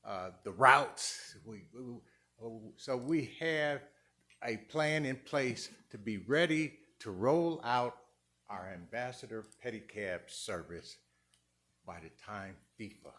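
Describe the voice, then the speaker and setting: A man giving public comment at a city council meeting, halting and repeating words as he pushes his points. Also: mid-range voice, expressive, bright, forceful tone. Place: council chamber.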